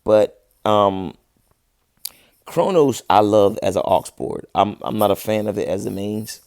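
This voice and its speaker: Low gravelly guy doing a chill livestream chat, voice going hoarse. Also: a little slow, darkish tone, dry recording, studio quality. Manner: laid-back slangy talk, frequent restarts. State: losing his voice. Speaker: livestream host, musician. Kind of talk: casual livestream chat answering viewers.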